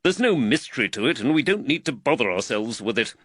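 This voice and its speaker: A man's voice: medium pitch, very animated, brisk, a bit bright, no room sound, studio quality. A man voicing an exasperated, short-tempered magistrate in an audiobook, snapping out commands. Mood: furious, snapping. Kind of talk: audiobook character dialogue.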